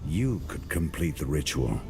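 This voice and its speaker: An actor playing a stern, resolute warrior hero in a video game, terse and grave in delivery. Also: male voice, a bass voice, big pitch swings, normal speed, gravelly, background noise, exaggerated voice. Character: stern, resolute warrior hero set against his brother. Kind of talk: video game cutscene acting.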